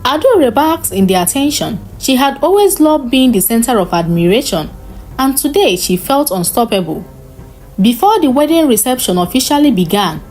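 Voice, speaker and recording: A woman narrating a scripted folktale in an expressive, dramatic storytelling style. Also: fairly high pitch.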